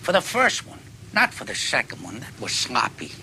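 An actor playing a dogged, deferential police detective, speaking in halting, folksy, musing phrases. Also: male voice, medium pitch, wildly swooping pitch, bright, forceful tone, heavily acted. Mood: animated, musing. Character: dogged, deferential, rumpled male police detective closing in on a killer. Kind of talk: dramatic dialogue acting. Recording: noticeable background noise.

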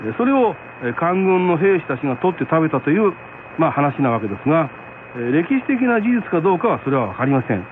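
A male radio host explains things politely, his fairly high voice moving in lively swings. The sound is narrow and tinny, with faint noise. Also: fast. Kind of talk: explanatory talk to listeners.

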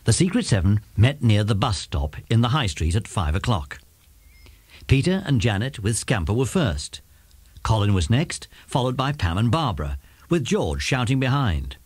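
A man's voice: on the low side, big pitch swings, moderate pace, a little mellow, slightly rough, dry recording. A man with an English accent reading scripted narration for a children's story as its narrator.

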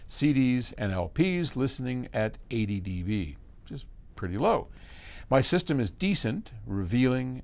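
A man in his seventies talking casually to camera as a host, explaining things conversationally with emphatic pauses mid-sentence. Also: lowish voice, darkish tone. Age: 70s. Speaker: host, audio company chief answering viewer questions. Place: indoor electronics workshop.

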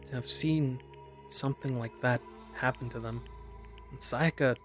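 A man voicing an earnest, anguished schoolboy in a mystery video game, halting and dramatic. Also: mid-range voice, steady tone, music in the background, natural voice. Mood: betrayed, downcast.

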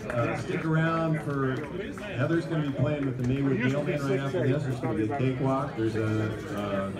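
A man's voice: mid-range voice, brisk, loud music behind the voice. A man making casual announcements as host of an outdoor neighbourhood gathering. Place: outdoors at a street block party. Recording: distant, echoey, loud outdoor crowd.

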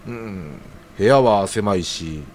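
A man's voice: lowish voice, lively intonation, slow, darkish tone, a little hiss or hum. An actor playing an earnest, hesitant young man in an audio drama, speaking in a casual, deferential junior's way. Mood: earnest, worried. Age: young adult.

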